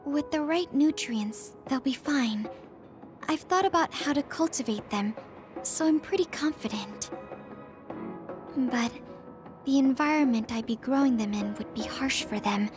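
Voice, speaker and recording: A woman playing a gentle, earnest but stubborn young female soldier in an anime-style video game, speaking in short, halting sentences. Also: sits high, over music. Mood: gentle, hesitant.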